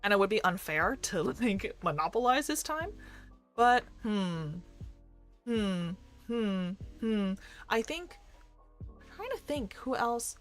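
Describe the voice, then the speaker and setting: A young woman who streams and works as a doctor, chatting casually with a fairly deep voice and a rambling, filler-heavy way of talking. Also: big pitch swings, slow, long pauses, faint music behind. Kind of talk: casual chat with live chat readers. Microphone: desk microphone.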